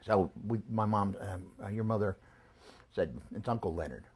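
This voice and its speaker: An older man being interviewed about his family, talking in a halting, casual way with frequent restarts and chuckles, his voice growing hoarse. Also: lowish voice, darkish tone. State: losing his voice. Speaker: interviewee recalling family history.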